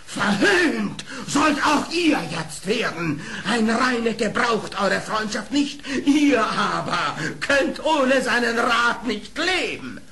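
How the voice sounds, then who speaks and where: An actor playing a proud, cunning, vengeful fox baron in an audio play, with a haughty theatrical delivery. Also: male voice, very high voice, sing-song, slow, gravelly, hardly any pauses, sharp tone, exaggerated voice. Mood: gloating, vengeful. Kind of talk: German audio play acting.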